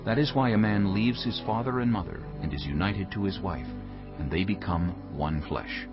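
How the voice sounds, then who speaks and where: A man narrating a dramatized scripture reading in a solemn storytelling manner with clear phrasing. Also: deep voice, normal speed. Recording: dull muffled audio under music.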